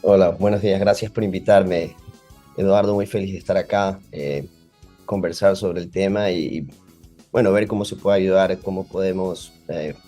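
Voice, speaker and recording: A man's voice: low-pitched, fairly even intonation, normal speed, warm tone, faint music behind. A man with an Ecuadorian Spanish accent, a podcast guest who explains at length with many fillers. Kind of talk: podcast interview.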